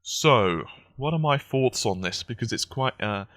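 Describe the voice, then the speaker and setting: A man with a croaky, just-woken-up voice, giving casual, analytical gaming news commentary. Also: medium pitch, expressive, measured pace, fairly bright tone, no room sound, clean studio sound. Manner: conversational, analytical.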